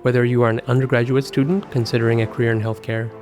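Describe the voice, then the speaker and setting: A man of middle pitch hosts a podcast, reading his introduction and questions in a measured, formal way. Soft music plays faintly behind him. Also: level tone. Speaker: physician and podcast host. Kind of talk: scripted intro and interview questions.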